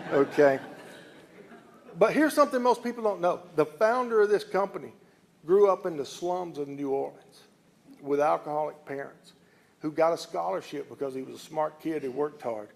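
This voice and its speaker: A man's voice: a little high, creaky. A middle-aged or older man with a Southern US accent, an executive giving an informal public speech in a folksy, emphatic, plain-spoken way. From southern United States (Alabama and Louisiana). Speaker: company executive speaking at an announcement.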